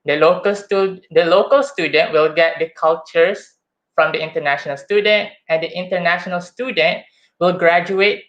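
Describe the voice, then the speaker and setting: A man's voice: high voice, moderate pace, fairly bright tone, dull audio. A young man with a Malaysian accent giving a chatty, somewhat hesitant student campaign speech, often calling his audience guys. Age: young adult. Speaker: student election candidate.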